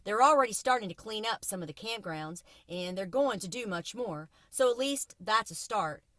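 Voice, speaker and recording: A woman's voice: medium pitch, expressive, normal speed, a bit bright, dry recording, natural voice. A woman voicing a shaken, somber young man in a storybook, grave and earnest as he gives grim news.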